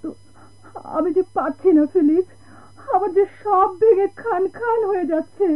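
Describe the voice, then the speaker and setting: A distraught tearful wife, super high voice, bangladeshi accent, radio melodrama, thin old recording with music. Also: female voice, normal speed, phone-quality sound. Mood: anguished, pleading. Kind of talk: Bengali radio melodrama acting.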